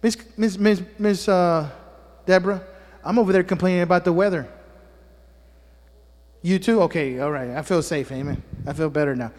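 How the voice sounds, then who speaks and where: A man's voice: high-pitched, normal speed, pauses often, darkish tone, big-room sound. A man preaching a sermon as pastor to his congregation, a native Spanish speaker who teases people with joking asides and keeps checking in with "amen?". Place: church sanctuary.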